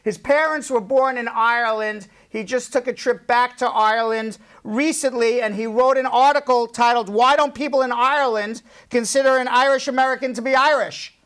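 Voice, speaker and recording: A middle-aged man hosts a talk show from a studio desk. He fires brisk, direct questions and keeps restating what his guests say. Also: extremely high pitch, fairly even intonation, fairly bright tone, smooth. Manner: brisk, questioning, repeats points back. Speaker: show host.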